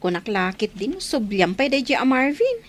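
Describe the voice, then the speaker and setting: A woman's voice: fairly high pitch, big pitch swings, moderate pace. A woman playing a supportive, affectionate younger sister in an Ilocano radio drama. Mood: cheerful.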